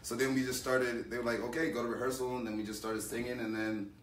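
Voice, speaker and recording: A man talking casually as a guest about his acting and music, playful and bold, breaking into loud laughs. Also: mid-range voice, fairly even intonation, fast, recorded from a distance, background noise. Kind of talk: casual interview chat. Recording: roomy, off-mic with faint ambience.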